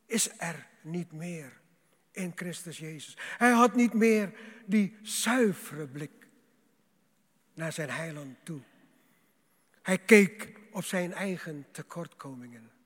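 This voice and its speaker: A man preaching a sermon to a congregation in a dramatic, emphatic way, repeating phrases, with a husky voice. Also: fairly high pitch. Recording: echoing hall, long reverb.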